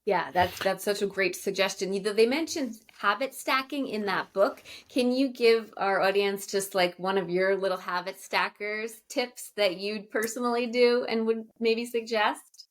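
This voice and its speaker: A woman's voice: mid-range voice, unhurried, few pauses. A woman co-hosting a podcast, who asks questions in a casual, affirming and encouraging way. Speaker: podcast co-host. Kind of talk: casual interview chat.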